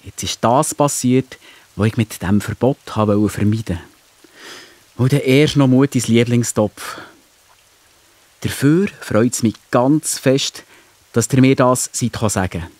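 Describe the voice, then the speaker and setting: A man playing a gentle, patient father in a children's audio play. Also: medium pitch, a little slow, pauses often, no echo, natural voice. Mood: gentle, serious. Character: gentle, fair-minded father of two children.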